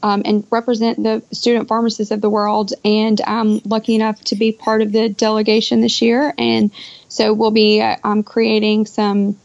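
A young woman talks as an interview guest in a conversational way. Her voice has a gravelly fry and stays fairly even in pitch. The sound is a dull internet call. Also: normal speed. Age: young adult. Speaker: podcast guest, pharmacist. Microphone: Skype call.

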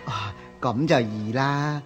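An actor playing a fawning, scheming palace eunuch in a historical radio drama, obsequious and coaxing. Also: male voice, mid-range voice, slow pace, soft background music, close to an everyday voice. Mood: sly, coaxing.

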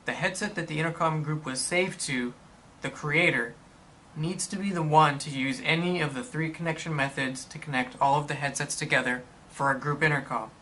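A man reading scripted instructional narration in a measured, explanatory manner for a product tutorial. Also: on the high side, steady tone, bright, forceful tone, slight background noise.

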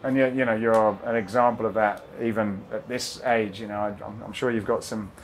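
A man with a lowish voice conducts an interview, asking brief questions and affirming his guest. He sounds a little off-mic, with soft outdoor noise behind. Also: fairly even intonation, fairly quick, rough recording. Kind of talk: conversational interviewing. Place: outdoor patio, seated.

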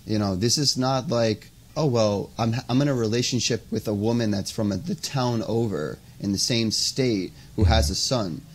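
A young man from the United States, a podcast guest talking casually and haltingly, with many "you know"s, over an earphone mic on a video call. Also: on the low side, slight background noise. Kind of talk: interview conversation. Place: indoors by a window, video call.

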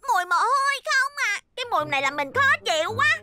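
A woman's voice: extremely high pitch, very animated, quick pace, loud music behind the voice. A woman voicing a prim, fussy, haughty little schoolgirl in a cartoon dub, with a complaining manner. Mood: disgusted. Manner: prissy, complaining.

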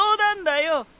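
An actor playing a teasing, talkative lighthouse spirit in an anime-style voice drama, boyish and self-assured with an unsettling edge. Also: male voice, extremely high pitch, bright, forceful tone, heavily acted. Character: playful male lighthouse spirit, teasing, talkative, eerily battle-hungry.

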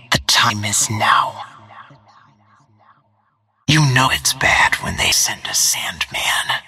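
An actress playing a cold, deadly, devoted female assassin in a video game, speaking in a menacing, controlled, breathy hiss. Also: very deep voice, sharp tone, dry recording, full character voice. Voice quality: breathy, hissing whisper. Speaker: video game character voice actor. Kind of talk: video game combat voice lines.

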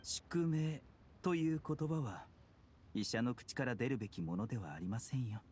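A man playing a calm, polite, gently unyielding physician in a video game, with formal speech. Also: mid-range voice, moderate pace, slight background noise, close to an everyday voice. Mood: gently firm. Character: composed, courteous male physician, gently unyielding. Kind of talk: Japanese game voice acting.

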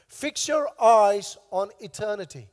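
Man, pastor, hoarse voice, high pitch, emphatic sermon, headset mic, reverberant church hall. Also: lively intonation, a little slow. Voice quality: coughing now and then. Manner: emphatic preaching, repeating key phrases. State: hoarse, lost his voice. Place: large church stage with long reverb.

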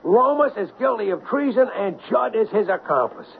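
Defiant, urgent cop with lively pitch. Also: male voice, normal speed, vocal fry. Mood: indignant, alarmed. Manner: urgent, insistent. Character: impulsive, defiant male cop on the run. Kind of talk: 1970s radio drama acting. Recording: dull, faintly noisy 1970s radio recording.

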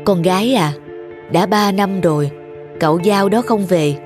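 Picture a woman acting an old village mother in a cartoon folk tale. She is kindly and resigned, reasoning in a measured way over clear background music. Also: medium pitch, natural voice. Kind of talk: animated folk tale dialogue.

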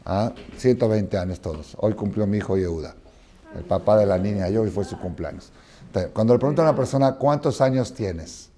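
A man in his sixties gives a Torah lesson from a lectern in a study hall, with a low, mellow voice. He talks expressively, acts out parables in dialogue and keeps checking that his listeners follow. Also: a little fast. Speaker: rabbi teaching a class. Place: study hall with wooden chairs, indoors.

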